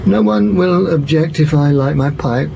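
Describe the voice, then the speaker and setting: An actor playing a shrewd, theatrical French police commissioner in an audio drama, pompous and teasing as he deliberates. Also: fairly high pitch, gravelly, mellow. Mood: ominous, deliberate. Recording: muffled, with background noise.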